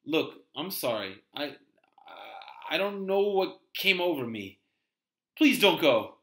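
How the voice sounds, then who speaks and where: A man acts out a young male driver haunted by a phantom. His voice sits a little high for a man. He sounds desperate and intense, as in a suspenseful radio play. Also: big pitch swings, slow, a bit bright, exaggerated voice. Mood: agitated, pleading. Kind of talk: dramatic first-person radio-play narration read aloud.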